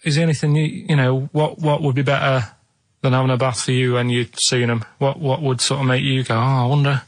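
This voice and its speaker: A man's voice: mid-range voice, flat delivery, a little fast. A man chatting on radio as producer and co-host, plain-spoken, earnest and a bit defensive. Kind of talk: casual radio chat. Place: radio studio.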